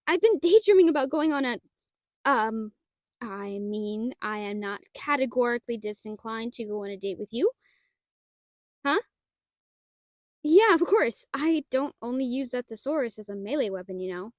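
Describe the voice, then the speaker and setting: Woman voicing shy half-dragon librarian girl, high voice, nerdy, hesitant stammer, flustered and smitten, dry intimate audio roleplay. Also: animated, normal speed, pauses often, no room sound, natural voice. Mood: excited, bashful. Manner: hesitant, stammering, bookish wording, easily flustered.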